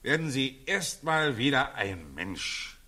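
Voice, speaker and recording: An actor playing a pompous, patronizing middle-aged colonel with theatrical effusiveness in a 1940s German radio drama. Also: male voice, mid-range voice, sing-song, slow, sharp tone, full character voice. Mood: condescending, generous. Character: pompous, jovially condescending colonel, father of a household.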